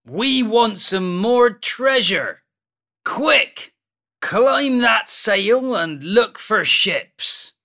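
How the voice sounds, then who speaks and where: A woman acts out a gruff pirate man from a children's storybook. The voice is gravelly and forceful, with slow, commanding phrases. He sounds bossy and quick to anger. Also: fairly high pitch, animated, full character voice. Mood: angry, bossy. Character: angry, bossy adult male pirate, shouting orders.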